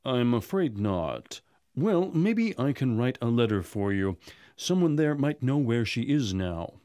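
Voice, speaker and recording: Male actor for helpful, apologetic gentleman in children's cartoon; mid pitch, expressive, close dry sound. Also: unhurried, no echo. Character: polite, helpful gentleman householder, grown man. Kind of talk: animated children's story acting.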